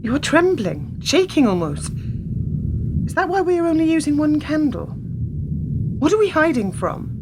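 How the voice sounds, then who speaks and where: A woman's voice: high voice, big pitch swings, normal speed, long pauses, very noisy. A woman playing an earnest, compassionate, questioning character in a radio play, with careful, formal delivery. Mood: uneasy, questioning.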